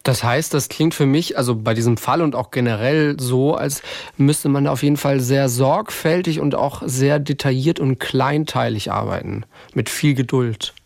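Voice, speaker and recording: Guy podcast host, bit of vocal fry, casual questions, really dry close sound. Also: mid-range voice, normal speed, darkish tone, dry recording. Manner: informal, colloquial wording. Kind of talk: casual interview chat.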